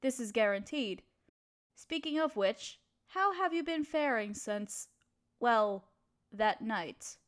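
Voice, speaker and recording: A young noble lady played by a woman speaks in a fairly high voice. She is kind and proper, with formal, genteel phrasing and a gentle hesitancy. It is an intimate audio drama addressed straight to the listener. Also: lively intonation, takes it slowly. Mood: polite, gentle.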